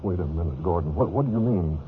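Old-time radio drama, dull narrow sound: nervous, hesitant American man, lowish voice. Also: animated, a little hiss or hum. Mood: nervous evasion. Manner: hesitant, halting. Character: uneasy, evasive man, guiltily polite. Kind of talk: 1940s-50s radio drama acting. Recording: muffled vintage radio recording.

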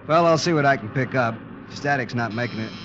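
A man's voice: medium pitch, a little fast, lightly acted. A man acting a wry, casual ship's radio operator in a 1950s radio drama. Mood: wry, uneasy. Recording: muffled, noisy old radio recording.

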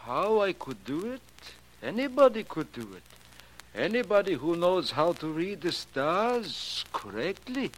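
A man's voice: high-pitched, very animated, a little slow, exaggerated voice. An actor playing a foreign observatory handyman in a 1940s radio drama, speaking stilted broken English with a foreign accent. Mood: insistent, mystical. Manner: stilted, broken English with simple present tense. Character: foreign observatory handyman who believes in astrology. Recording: noisy, clicky 1940s radio recording.

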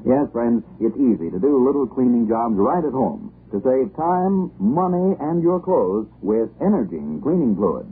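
A man's voice: medium pitch, sing-song, a little slow, few pauses, breathy edge. A man announcing a 1940s radio commercial in a clipped, telegraphic storytelling manner. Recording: muffled old radio broadcast.

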